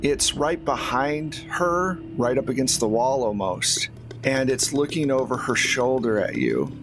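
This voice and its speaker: A man's voice: mid-range voice, animated, unhurried. Man game master narrating over faint noise. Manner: conversational, descriptive storytelling. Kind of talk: narrating a horror tabletop roleplaying game. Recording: faint background ambient noise.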